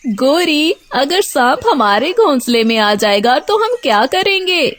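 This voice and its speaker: Woman voicing a kindly male crow, high voice. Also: sing-song, a little slow, a bit bright, full character voice. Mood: sympathetic. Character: kindly, calm husband crow. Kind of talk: Hindi children's cartoon voice acting.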